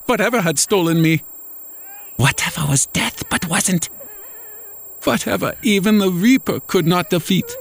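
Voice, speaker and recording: A man's voice: sits high, expressive, a little slow, gravelly, long pauses. A man narrating a first-person horror story in a dramatic, scripted storytelling style. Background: music.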